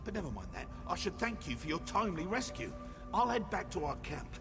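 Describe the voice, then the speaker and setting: A man's voice: high-pitched, big pitch swings, fairly quick. An actor playing a chatty, self-satisfied male scholar in a video game, with a wordy, wry way of talking. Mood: cheerfully proud. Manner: wordy, wry, pleased with himself. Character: excitable, chatty male scholar, proud of his theories. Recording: long reverb, music behind.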